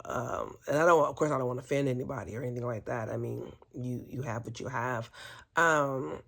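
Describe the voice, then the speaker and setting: A woman's voice: very deep voice, fairly quick, gravelly, fairly bright tone. A woman telling a personal story in a casual, candid and chatty way, often amused and hesitant as she picks her words. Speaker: vlogger telling personal stories.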